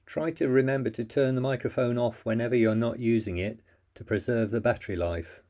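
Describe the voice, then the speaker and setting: A man's voice: lowish voice, warm tone. A man narrating a plain, methodical how-to voice-over, giving step-by-step instructions with the odd small slip.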